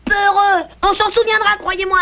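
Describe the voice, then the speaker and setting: Little bear cub: squeaky-high, plaintive, blunt cartoon delivery, muffled tape with music. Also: female voice, flat delivery, normal speed, pushed and bright, heavily acted. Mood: indignant. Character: small, blunt, anxious young bear cub. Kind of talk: dubbed cartoon acting.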